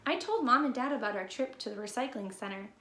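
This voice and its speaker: A woman reading a picture book aloud to young children in an expressive, deliberate storytelling manner. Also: on the high side. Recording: roomy echo with background noise.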